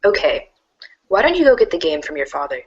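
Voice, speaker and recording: Casting: woman as deadpan, sardonic teen pal, lowish and rapid, slightly muffled lo-fi audio. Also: breathy edge, exaggerated voice. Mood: dry, teasing. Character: dry, sardonic teenage friend in online chat. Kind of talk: dramatized chat reading. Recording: dull lo-fi audio.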